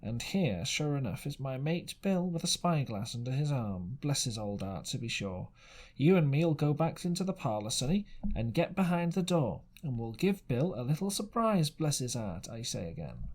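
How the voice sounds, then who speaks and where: A man voicing a menacing, fawning and sneering seafaring stranger in an adventure storybook, with drawling, wheedling sailor talk and sly pauses. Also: medium pitch, sing-song, darkish tone. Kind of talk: audiobook character voice.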